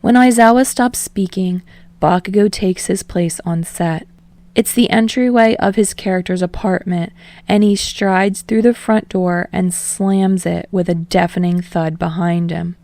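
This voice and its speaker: A woman's voice: fairly low pitch, steady tone, a little slow, dark tone, dry recording. A woman reading scripted fiction narration as an audiobook narrator.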